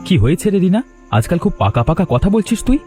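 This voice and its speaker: A man voicing a supportive, gently teasing young husband and elder brother in a cartoon. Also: high-pitched, sing-song, fast talker, music in the background. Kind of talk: cartoon voice acting.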